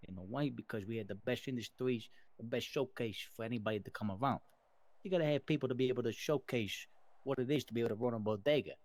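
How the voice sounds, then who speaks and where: A man's voice: mid-range voice, quick pace, darkish tone, dry recording, lightly acted. A man playing an earnest, long-winded mobster who rambles and speechifies in an improvised online game roleplay. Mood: insistent.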